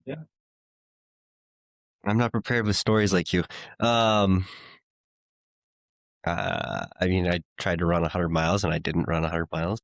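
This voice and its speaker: A man in a casual talk giving short, deadpan answers. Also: deep voice, measured pace, gravelly, long pauses, no room sound. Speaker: podcast co-host. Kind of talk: casual chat.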